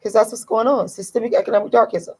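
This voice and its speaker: A woman with a hoarse, deep voice, giving a blunt, emphatic opinion monologue to her online audience and repeating her key points. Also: fairly even intonation, fast talker, dark tone. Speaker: YouTube commentator.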